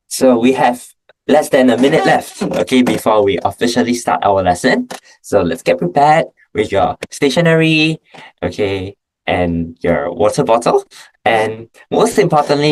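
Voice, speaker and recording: A man's voice: mid-range voice, slight background noise, poor audio. A young man with a Singaporean accent teaching a math class online, upbeat and full of questions. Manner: upbeat, asks questions and checks in with okay.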